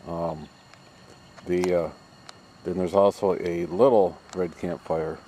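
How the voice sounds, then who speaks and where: A middle-aged man chatting casually and unscripted for a vlog, explaining things in a rambling, halting way. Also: sits low, steady tone, warm tone. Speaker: camping and ham radio vlogger. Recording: distant mic, background noise.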